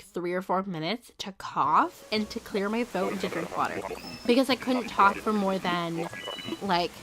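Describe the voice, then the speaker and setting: Young woman streamer, rambly filler-heavy chatter, a bit hoarse, game music playing underneath. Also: mid-range voice. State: recovering voice, slightly hoarse. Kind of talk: casual live-stream chat while gaming.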